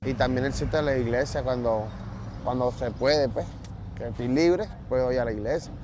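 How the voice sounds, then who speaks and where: A man from Venezuela with a Venezuelan Spanish accent, answering street interview questions in a relaxed, matter-of-fact way outdoors. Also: mid-range voice, normal speed. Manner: relaxed, matter-of-fact, speaks of God's will. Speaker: interviewee, job seeker. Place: outdoors at a store parking lot. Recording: outdoor street noise, traffic.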